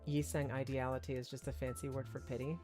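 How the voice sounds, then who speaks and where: A man streams a game with a hoarse, tired voice. He rambles and thinks aloud as he chats casually. His pitch sits in the middle. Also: flat delivery, fast, darkish tone, soft background music. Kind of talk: casual gameplay commentary.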